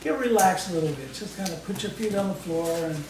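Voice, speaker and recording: A man's voice: high-pitched, expressive, brisk. A man leading a live guided imagery exercise in a soothing, coaxing, informal way, often repeating short phrases. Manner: folksy, informal wording. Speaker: guest presenter demonstrating guided imagery. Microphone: distant microphone. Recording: echoey room from far off, faint noise.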